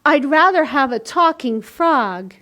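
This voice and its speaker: Storybook little girl, unimpressed and questioning, high pitch, unhurried read by a woman. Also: expressive, bright, forceful tone, heavily acted. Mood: curious, unimpressed. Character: sensible, skeptical young girl in a storybook.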